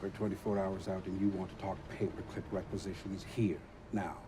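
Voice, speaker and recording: A man's voice: sits low, flat delivery, fairly quick, background noise, natural voice. A man playing a haughty, menacing businessman conspirator in a video game, speaking with sardonic deliberation. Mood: sardonic, smug.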